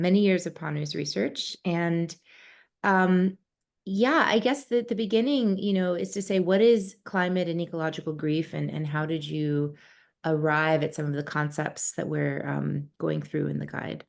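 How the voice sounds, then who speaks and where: Female host, low-pitched with vocal fry, conversational and full of fillers. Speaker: host and advisor. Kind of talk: conversational interview.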